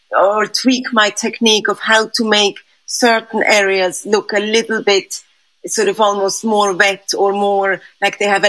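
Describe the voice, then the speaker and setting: A woman's voice: medium pitch, a bit bright, poor audio. Hesitant raised woman sculptor. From Switzerland. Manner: hesitant, many restarts and fillers, thoughtful. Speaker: sculptor, interview guest. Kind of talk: conversational interview answers.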